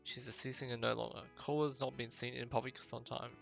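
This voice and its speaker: A man's voice: mid-range voice, little pitch movement, fast, vocal fry, a bit bright, soft background music. Man voicing a solemn, protective fantasy islander. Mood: grave storytelling. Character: protective, superstitious islander guarding ancestral statues. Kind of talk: amateur game dialogue voicing.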